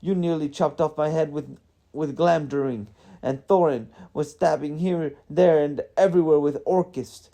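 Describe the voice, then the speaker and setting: Man putting on a blustering, higher-pitched grumbling dwarf. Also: steady tone, a little slow, gravelly, warm tone. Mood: defensive, indignant. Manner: blustering, complaining. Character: grumbling male dwarf, indignant and defensive.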